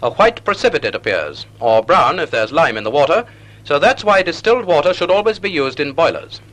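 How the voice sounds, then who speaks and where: A British man narrates an old naval training film from a script. His voice is bright and sits a little high, with animated, swooping intonation. He phrases everything in a measured, didactic way, as an instructor would. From United Kingdom.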